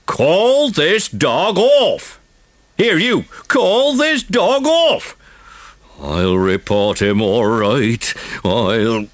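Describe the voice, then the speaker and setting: A man voicing an officious, bullying village policeman with blustering, drawn-out official talk in a children's audiobook. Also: a little high, wildly swooping pitch, dry recording, pitched above the performer's own voice, exaggerated voice. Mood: indignant, barking.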